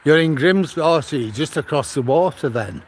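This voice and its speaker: A man's voice: fairly high pitch, animated, normal speed, slight background noise. An older man from Manchester, retired, chatting casually while walking his dog outdoors on a seaside promenade and filming with a phone on a gimbal. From Manchester, England. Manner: chatty, rambling storytelling with frequent restarts. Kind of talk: casual walking livestream chat.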